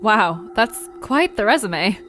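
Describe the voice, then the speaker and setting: A woman's voice: mid-range voice, very animated, takes it slowly, fairly bright tone, slight background noise. A woman playing a curious, sensible female adventurer in an audio drama, speaking in an earnest, conversational way. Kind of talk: audio drama acting, natural dialogue.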